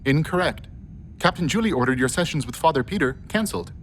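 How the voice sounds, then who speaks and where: Man acting a precise, literal-minded robot, lively pitch. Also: fast talker, a little hiss or hum, natural voice. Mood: earnest, matter-of-fact. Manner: precise, stilted, literal phrasing. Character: literal-minded ship's computer or robot, politely earnest. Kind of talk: radio play acting.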